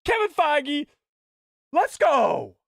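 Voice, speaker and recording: A man's voice: extremely high pitch, extremely slow, gravelly, no echo. Chatty man with a cold, dry sound. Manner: excitable, chatty, greets and reads out chat. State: has a cold, losing his voice. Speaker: live stream host. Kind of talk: casual live stream chat.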